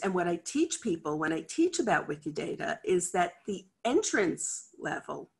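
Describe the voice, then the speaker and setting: A woman's voice: mid-range voice, fairly bright tone, slightly rough. A middle-aged American woman, head of a library cataloguing department, giving a chatty, candid conference talk over a video call from her office. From United States. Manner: chatty, candid, acts out quoted voices. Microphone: video call webcam.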